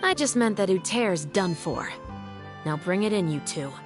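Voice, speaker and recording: A woman's voice: mid-range voice, animated, normal speed, loud music behind the voice, lightly acted. An actress playing a stern young woman officer who speaks in a level, dryly teasing way.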